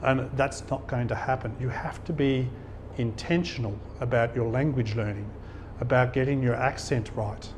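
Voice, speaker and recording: Middle-aged man, event guest speaker, relaxed and anecdotal, average pitch, light hiss behind. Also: moderate pace. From Australia. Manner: conversational, anecdotal, chuckles at his own stories. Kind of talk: informal talk to an audience. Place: function hall.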